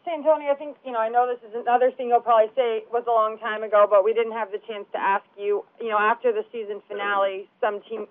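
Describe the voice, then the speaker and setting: A woman's voice: a little high, fairly even intonation, fast talker, hardly any pauses. Woman reporter, careful lead-in, phone-call audio. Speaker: sports reporter. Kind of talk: press conference questioning. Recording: tinny phone line.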